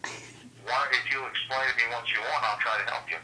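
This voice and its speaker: A man's voice: mid-range voice, fairly even intonation, normal speed, bright, forceful tone, a little hiss or hum, rough recording. A man working in a store's electronics section, patient but baffled, heard through a phone speaker. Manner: patient, baffled, matter-of-fact. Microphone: heard over speakerphone.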